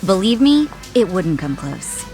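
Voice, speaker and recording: An actress voicing a spirited, candid, sassy young woman in an English-dubbed video game, with frank, expressive, casual banter. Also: medium pitch, unhurried, soft background music. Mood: warm, earnest.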